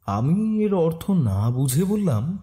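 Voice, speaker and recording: A man giving expressive scripted narration of a detective story. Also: fairly high pitch, takes it slowly.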